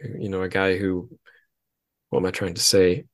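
A man hosting a casual interview show, thinking aloud in a halting way with wry asides. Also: low voice, level tone. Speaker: podcast host, interviewer.

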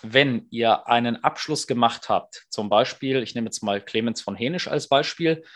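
A man's voice: medium pitch, moderate pace. A man co-hosting a casual podcast, explaining rules with frequent fillers. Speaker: podcast co-host, tournament organizer.

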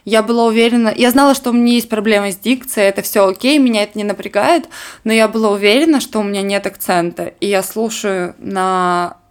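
A woman's voice: medium pitch, a little fast. A young woman from Crimea with a southern Russian accent, co-hosting a podcast in casual chat. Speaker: podcast co-host.

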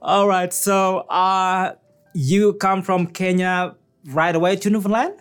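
Higher-voiced man, halting studio interviewer. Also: fairly even intonation, a bit bright, clear, resonant tone, faint music behind. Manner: halting, many fillers and restarts, laughs easily. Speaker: executive producer and interviewer. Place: radio studio.

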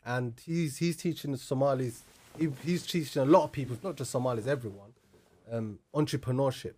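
A man's voice: mid-range voice, a little fast, a little mellow. Supportive London man hosting a casual chat. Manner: agreeing interjections, supportive. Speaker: podcast host.

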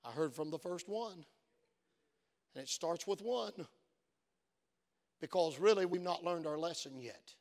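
A man's voice: a little high, expressive, measured pace, pauses often. A man preaching a sermon as pastor, with a voice worn from use and an earnest storytelling manner that builds to fervent exhortation. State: voice worn from many phone calls. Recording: long reverb of a church hall.

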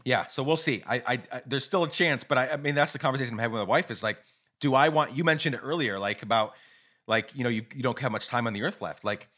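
A man's voice: mid-range voice, fast. A man in his thirties hosting a podcast, talking casually and with feeling, full of "like" fillers. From grew up in Guatemala. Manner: casual, many fillers like "like", animated. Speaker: podcast host. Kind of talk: podcast interview.

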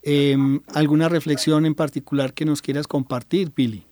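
A man's voice: a little high, steady tone, moderate pace, a little mellow, dry recording. A man with a Colombian accent hosting an interview show, speaking unscripted to guests. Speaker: host.

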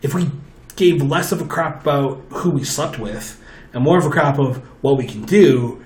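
A man with a loud, booming voice, telling stories animatedly in a casual chat at a table with friends. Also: moderate pace. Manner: chatty, animated storytelling with restarts. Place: table with four people. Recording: roomy echo.

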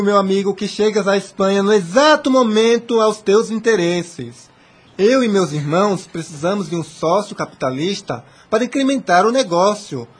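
An actor playing a persuasive, scheming trader in a radio soap, speaking formally. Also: male voice, sits high, slightly rough. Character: Federigo, persuasive, scheming male slave-trading schemer. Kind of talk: Brazilian radio soap acting.

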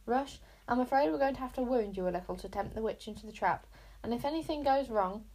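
A woman voicing a hot-tempered boy wizard with no magic, hissing and shouting. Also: on the high side, quick pace, rough recording. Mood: bossy. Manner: hissing, spitting, shouting in anger.

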